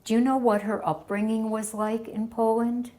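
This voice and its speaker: A woman interviewing a survivor for an oral history, asking brief, gentle questions. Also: a little high, little pitch movement, moderate pace.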